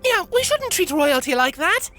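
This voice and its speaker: An extremely high, squeaky little unicorn filly in a storybook reading. She is eager, proud and adoring. The voice is bright and very animated. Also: normal speed, faint music behind, full character voice. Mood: gushing, excited. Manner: gushing, breathlessly eager.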